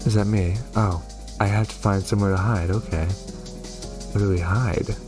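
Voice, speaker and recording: A man hosting a horror game playthrough, reading and commenting in an expressive, theatrical way. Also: fairly low pitch, normal speed, music in the background. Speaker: gaming video host.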